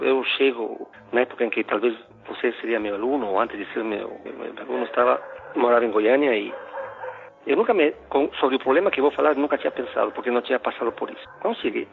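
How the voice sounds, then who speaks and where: A middle-aged man from Ecuador with a Spanish accent, a philosophy professor telling a story in a casual, halting way with many restarts and fillers. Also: mid-range voice, vocal fry. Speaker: philosophy professor, podcast guest. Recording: phone-like narrow sound.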